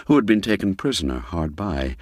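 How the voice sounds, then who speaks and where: A man narrating a literary tale in a theatrical, expressive and unhurried storytelling manner. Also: deep voice, no room sound, studio quality.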